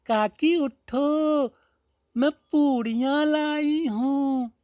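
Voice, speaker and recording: A man's voice: a little mellow, no room sound, studio quality, exaggerated voice. A man voicing a timid, caring little girl in a storybook tale, speaking in a childlike way. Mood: hushed, timid. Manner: high, drawn-out childlike speech.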